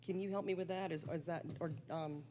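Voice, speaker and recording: A woman's voice: on the low side, steady tone, a little fast, background noise. A woman council member speaking at a city meeting, formal with casual asides and frequent ums. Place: council chamber.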